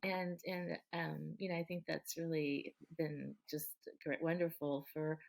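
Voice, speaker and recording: Woman, middle-aged, lowish pitch, earnest, chatty, ums and restarts, light laughs. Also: flat delivery, a little slow, creaky. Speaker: guest, local politician. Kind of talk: relaxed conversational interview.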